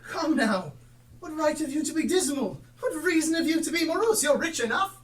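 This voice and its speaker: Woman playing a lively, high-voiced cheerful young nephew. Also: normal speed. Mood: cheerful, teasing. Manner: earnest, exclamatory, good-humoured. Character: cheerful, warm-hearted young nephew of a miser. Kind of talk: theatrical stage acting. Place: theatre stage, live performance. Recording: distant live stage recording with noise.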